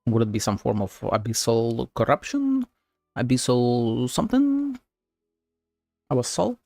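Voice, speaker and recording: A man doing casual livestream game commentary, with a gravelly creak and loose, playful, crude asides. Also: medium pitch, wildly swooping pitch, a little slow, a little mellow, dry recording, studio quality, soft background music. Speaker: game streamer.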